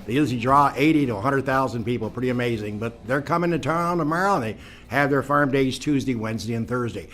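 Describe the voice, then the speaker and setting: A middle-aged man hosts a local talk show at a middle pitch. He rambles in a chatty way and leans on frequent fillers. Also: quick pace, fairly bright tone, faint music behind. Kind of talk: casual talk show hosting.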